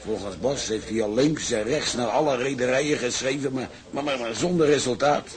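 An actor playing a bitter, resigned out-of-work tugboat sailor in a radio drama, confiding gossip in a halting way. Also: male voice, mid-range voice, natural voice. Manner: halting, conspiratorial storytelling with repetitions. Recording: crowd murmur behind dialogue.

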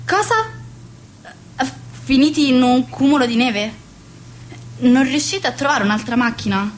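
Woman acting a fussy high-voiced society hostess. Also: slight background noise. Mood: overdramatic dismay. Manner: theatrical, gushing, bossy. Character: fussy, social-climbing society hostess and mother. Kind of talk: radio play acting.

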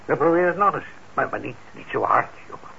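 An actor in a radio play as a kindly, trusting middle-aged shopkeeper, speaking gently and haltingly with patient encouragement. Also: male voice, mid-range voice, lively intonation, gravelly, music in the background. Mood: patient, friendly. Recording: dull, noisy old radio recording.

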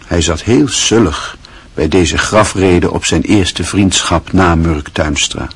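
A man with a very husky voice reading scripted literary narration for a radio drama. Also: sits low, takes it slowly.

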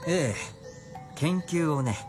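A man's voice: a little high, animated, slow, over music. A man playing a mild, self-effacing doctor-novelist husband, easygoing and understated, in a period radio drama. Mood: mild, wry.

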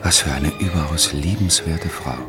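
A man narrating a German radio play as a solemn, measured literary storyteller, quietly enchanted by what he observes. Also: very deep voice, over loud music. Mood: admiring.

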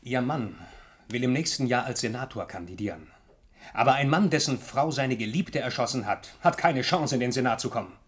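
A man's voice: mid-range voice, expressive, husky. Probing, cool-headed male investigator. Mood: coolly accusing. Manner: deliberate, probing, coolly deductive. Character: shrewd male investigator confronting suspects, composed and probing. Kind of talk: German radio crime drama acting.

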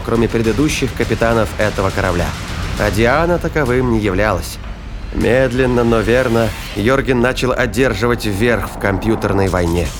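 Casting: male storyteller for science fiction thriller, mid-pitched, scripted dramatic read, faint music bed. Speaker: narrator.

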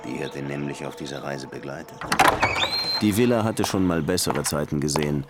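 An actor playing a dry, world-weary police lieutenant who narrates his case in a measured, wry way for a crime radio drama. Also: very deep voice, loud music behind the voice. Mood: reflective.

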